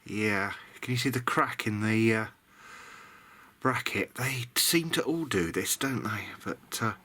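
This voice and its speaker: Low-voiced British man, bright edge. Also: measured pace. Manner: muttering, self-deprecating, mildly grumbling. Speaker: hobbyist electronics video diarist. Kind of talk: casual repair commentary while working.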